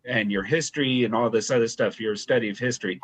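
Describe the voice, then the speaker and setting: A man's voice: medium pitch, fast talker, husky. A man hosting a podcast interview, asking loose, rambling questions in a casual way. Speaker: podcast host.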